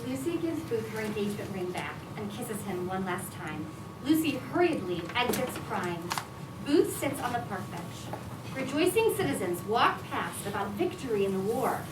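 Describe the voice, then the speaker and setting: A woman's voice: medium pitch, sing-song, normal speed. A woman reading out stage directions as scripted narration at a live staged reading. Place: indoor restaurant staged reading. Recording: echoey live restaurant, distant, noisy.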